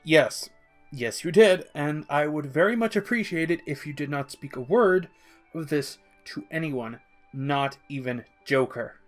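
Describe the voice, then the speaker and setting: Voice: mid-range voice, lively intonation, measured pace, soft background music, close to an everyday voice. A narrator voicing an awkward, exasperated male villain doctor who scolds in formal, clipped phrases in a story reading. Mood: indignant.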